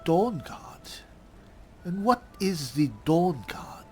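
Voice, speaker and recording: A woman's voice: lowish voice, expressive, slow, faint music behind. A woman roleplaying aloud through a video game, musing in character in a wry, theatrical way. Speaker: roleplaying game player, host. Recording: faint background noise, game ambience.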